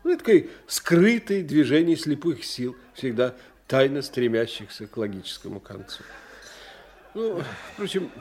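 An actor playing a learned older professor in an audio drama, lecturing in a theatrical, condescending manner. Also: male voice, mid-range voice, big pitch swings, normal speed, darkish tone. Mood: expounding, patronizing. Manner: didactic, theatrical, condescending to his young friend. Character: animated, learned older professor investigating psychic phenomena.

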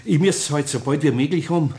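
An actor playing a kindly old master carpenter with a Bavarian accent, hesitant and fumbling for words in a radio play. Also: male voice, on the high side, a little fast, mellow, lightly acted. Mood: pleading.

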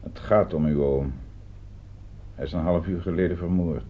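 A man's voice: deep voice, fairly even intonation, normal speed. A man playing a sardonic, probing police detective in a radio drama, questioning in a dry, insinuating, hesitant way. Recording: muffled, noisy old radio play recording.

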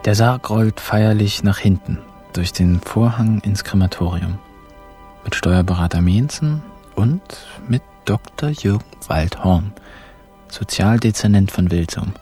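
An actor playing a laid-back, cheeky young man narrating a radio crime caper in deadpan slang. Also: low voice, measured pace, faint music behind. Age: young adult. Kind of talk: first-person radio crime drama narration.

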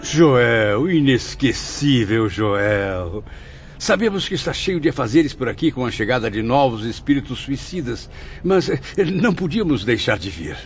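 A man's voice: medium pitch, sing-song, unhurried, soft background music. A man acting an earnest, grateful spirit in a radio drama, speaking in a courteous, formal manner. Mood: wistful, affectionate. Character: earnest, grateful male spirit, respectful pupil and friend. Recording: slightly dull, muffled audio.

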